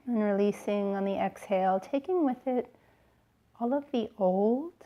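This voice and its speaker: A woman yoga teacher leading a guided relaxation in a soothing, gentle way, with unhurried phrases and pauses. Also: medium pitch, dull audio. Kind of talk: slow guided relaxation instruction.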